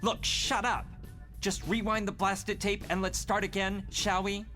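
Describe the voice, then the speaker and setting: Man playing high-voiced, haughty young mutant leader. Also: little pitch movement, measured pace, bright, forceful tone. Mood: irritated. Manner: haughty, fussy, sarcastic. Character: arrogant, anxious, impatient young male mutant leader. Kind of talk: motion comic voice acting. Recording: prominent music behind the voice.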